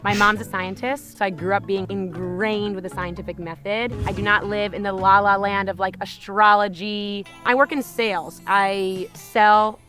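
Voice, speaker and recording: A woman's voice: mid-range voice, fairly even intonation, moderate pace, hardly any pauses, fairly bright tone, soft background music. Upbeat, goofy woman in her twenties. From New Jersey. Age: 20s. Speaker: reality show contestant. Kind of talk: cast introduction interview.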